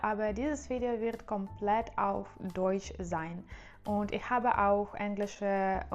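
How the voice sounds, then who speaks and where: A young woman whose native languages are Russian and Ukrainian, speaking with an accent in a casual vlog, careful and a little hesitant with fillers. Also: medium pitch. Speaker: vlogger.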